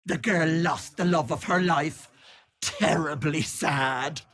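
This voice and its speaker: An actress playing a gleefully malicious old hag in a video game, raspy and theatrical, with mock-sweet endearments and taunting menace. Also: female voice, deep voice, slow pace, fairly bright tone, heavily acted. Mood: coaxing, sly. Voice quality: husky, raspy cackling old voice.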